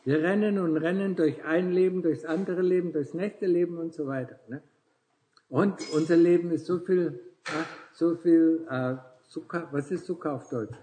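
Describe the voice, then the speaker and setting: A man's voice: fairly high pitch, normal speed, dark tone, a little hiss or hum, low-quality recording. A man in his sixties talking casually as a Buddhist teacher with visitors, tagging nearly every phrase with a questioning "ne?" and chuckling often. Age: 60s.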